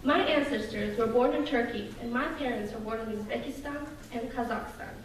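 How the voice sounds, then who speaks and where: A young woman from Russia delivers a prepared speech to an audience in an earnest, measured way. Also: fairly high pitch. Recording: echoey hall from far off, noisy.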